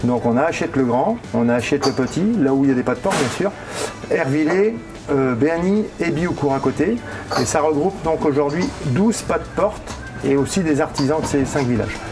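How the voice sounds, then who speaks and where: A man's voice: a little high, expressive, brisk. A man in his fifties explaining things casually in an interview as a business owner, often hesitating and searching for words. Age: 50s. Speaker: hotel and bar owner. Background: music.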